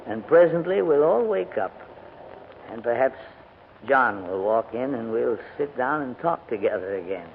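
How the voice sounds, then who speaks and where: A noisy, narrow vintage radio recording carries a courteous old English gentleman. He hems and hesitates, and his pitch swings up and down freely as he frets in period radio-drama fashion. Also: lively intonation, normal speed, vocal fry. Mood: grieving, restrained. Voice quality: hesitant, hemming delivery. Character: courteous, dithering elderly English gentleman escorting refugee children. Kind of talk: 1940s radio drama acting.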